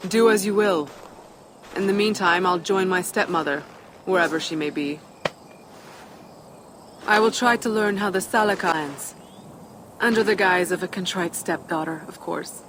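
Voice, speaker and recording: A woman playing a proud, defiant giantess, a tyrant's daughter, measured and wry in a video game cutscene. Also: lowish voice, noisy background, close to an everyday voice. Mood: cool resolve.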